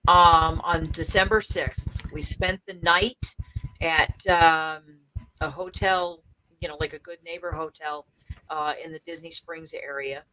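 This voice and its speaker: A woman guest on a live stream, telling about her trip in a relaxed way with many fillers. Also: deep voice, a little slow, a little hiss or hum, rough recording. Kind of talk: casual conversation.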